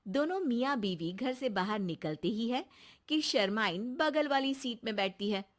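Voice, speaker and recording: A woman's voice: on the high side, expressive, normal speed, voice pushed down, natural voice. A woman narrating a scripted comic cartoon story.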